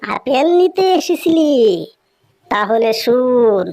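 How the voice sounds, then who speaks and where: A woman's voice: high voice, expressive, slow pace, darkish tone. A woman voicing a stern, easily angered male hermit guru in a cartoon, scolding and commanding. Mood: stern, relenting. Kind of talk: Bengali cartoon character dialogue.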